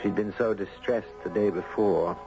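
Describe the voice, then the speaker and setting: Actor as earnest gentle man, muffled radio drama. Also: lowish voice, steady tone, moderate pace, close to an everyday voice. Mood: reflective. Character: gentle, earnest man drawn into a tragic tale. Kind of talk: 1970s radio drama acting, first-person narration. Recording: muffled 1970s radio broadcast, music behind.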